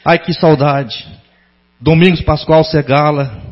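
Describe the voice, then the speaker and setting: High-voiced, husky Brazilian man giving a speech. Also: steady tone. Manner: formal, sentimental, deliberate phrasing. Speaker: former pupil giving a tribute to a teacher. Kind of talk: prepared public tribute speech. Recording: dull lo-fi sound.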